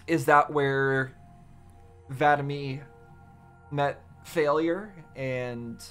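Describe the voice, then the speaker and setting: A man giving casual reaction commentary, haltingly thinking aloud as a video host. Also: medium pitch, fairly even intonation, a bit bright, smooth, soft background music.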